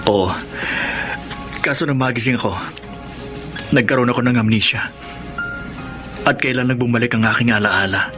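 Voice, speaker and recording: A man's voice: mid-range voice, steady tone, normal speed, pauses often, close to an everyday voice. An actor playing an earnest, polite soldier longing for his family in a Filipino radio drama. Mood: solemn recounting. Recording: radio drama with music underneath.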